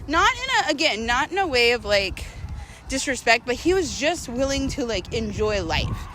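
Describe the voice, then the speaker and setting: A woman's voice: a little high, sing-song, moderate pace, pushed and bright, slight background noise. A woman telling a personal story in a casual, slangy, conversational vlog style, peppered with "like" and acting out bits of dialogue. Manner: conversational, slangy, filler-heavy "like". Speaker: storyteller vlogger.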